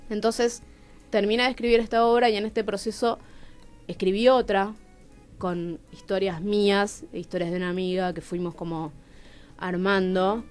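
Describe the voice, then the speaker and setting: A woman's voice: medium pitch, steady tone, normal speed, clean tone, faint music behind. A woman with a Rioplatense Argentine accent, telling personal stories expressively as a guest in a radio interview. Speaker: playwright and radio show guest. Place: radio studio.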